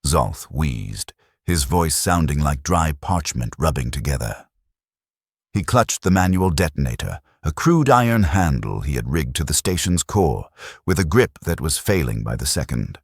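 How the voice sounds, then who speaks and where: A man narrating a science fiction audiobook in a steady, dramatic storytelling manner, close to a studio mic. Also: a bass voice, unhurried, breathy edge, no echo. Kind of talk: scripted narration.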